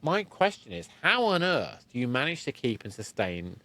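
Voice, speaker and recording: A man's voice: mid-range voice, big pitch swings, moderate pace, pushed and bright. A man hosting a conversational podcast interview, often repeating and rephrasing his words as he goes. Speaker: podcast host.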